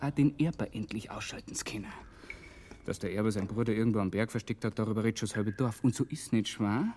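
An actor playing a shrewd, determined investigator with a Bavarian accent, explaining emphatically in colloquial dialect in a radio crime drama. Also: male voice, medium pitch, darkish tone, slight background noise, close to an everyday voice. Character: shrewd, determined male investigator piecing together a village conspiracy.

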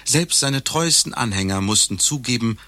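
An actor playing a learned lecturer, delivering an ornate, mock-solemn satirical monologue. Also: male voice, mid-range voice, animated, normal speed, pushed and bright, dry recording. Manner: pompous, ornate, mock-serious.

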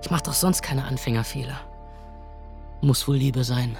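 A man's voice: mid-range voice, normal speed, gravelly, faint music behind, natural voice. An actor playing a cocky, laid-back young man who talks in casual slang in a radio play.

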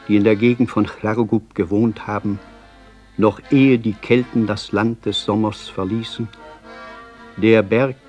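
An older reverend poet in a radio play, declaiming with reverent solemnity. His voice sits low and moves slowly. Faint accordion music plays behind him. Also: darkish tone.

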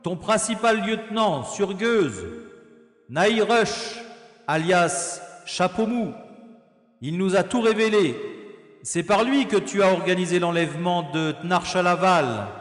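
Actor as a terse special agent, echoey. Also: male voice, high voice, slow. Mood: accusing. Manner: terse, informal, questioning. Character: brisk, wry male special agent, science-fiction hero. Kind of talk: audio drama acting. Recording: echoey reverb with music.

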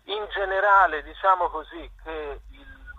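A man's voice: a little high, a little slow, a little hiss or hum. A middle-aged man answers interview questions conversationally, hesitating and repeating himself as he searches for words. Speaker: interviewed visual artist. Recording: dull, telephone-like radio sound.

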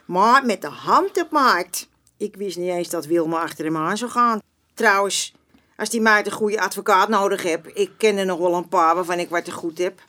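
A woman's voice: mid-range voice, moderate pace, bright, forceful tone, close to an everyday voice. An actress playing a feisty, proud old woman in a radio drama, blunt and colloquial. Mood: defiant, caring. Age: older. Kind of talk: Dutch radio drama acting.

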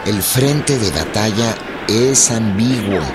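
A man's voice: on the low side, takes it slowly, very noisy. A man delivering scripted, dramatic narration for a historical radio drama. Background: music under the voice.

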